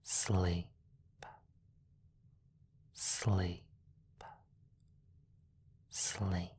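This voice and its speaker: A woman playing a seductive, predatory vampire in a hypnosis roleplay, slow and hushed, often slipping into breathy whispers. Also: a bass voice, flat delivery, exaggerated voice. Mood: soothing, hushed. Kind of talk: sleep hypnosis roleplay, ASMR.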